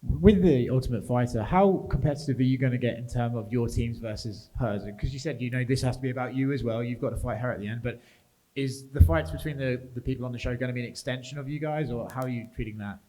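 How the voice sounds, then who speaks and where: A man's voice: medium pitch, fast, warm tone, poor audio. A man interviewer asking direct, probing questions.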